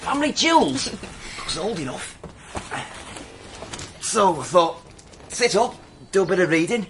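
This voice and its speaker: A man's voice: sits high, big pitch swings, measured pace, a bit bright, a little hiss or hum, heavily acted. An actor playing a chatty male taxi driver and mature student, casual, nosy and hesitant, in a naturalistic radio drama.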